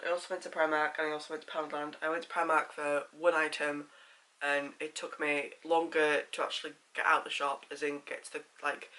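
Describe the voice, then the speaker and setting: A man's voice: fairly high pitch, bright, forceful tone, rough recording. A man with a Yorkshire accent, chatting casually to camera as a vlogger, rambling with lots of fillers, a bit congested with a sore throat.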